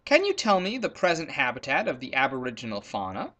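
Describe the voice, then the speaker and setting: A man's voice: mid-range voice, huge pitch swings, brisk, sharp tone. A man voicing a grown-up male hunter in a storybook, pompous and fond of long words. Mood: formal. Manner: pompous, wordy.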